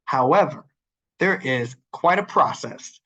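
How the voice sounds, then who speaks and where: A man presenting a training webinar, his voice nasal and stuffy from the flu. He talks in an upbeat, casual way, full of ums and uhs, as if walking through a screen share. His pitch sits at an ordinary middle level for a man. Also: lively intonation, unhurried, bright, forceful tone, husky, muffled recording. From Arizona. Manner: upbeat, filler-heavy, talking while clicking through screens. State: congested from the flu.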